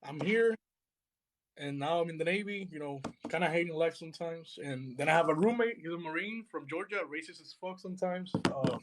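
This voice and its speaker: A young man from Puerto Rico talking casually on a live stream, laid-back and halting with lots of fillers. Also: a little high, background noise. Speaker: podcast co-host.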